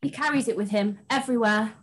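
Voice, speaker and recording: A woman's voice: a little high, quick pace, natural voice. A young woman playing a sweet, melodramatic princess in an amateur pantomime read-through on a video call. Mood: anxious. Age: young adult.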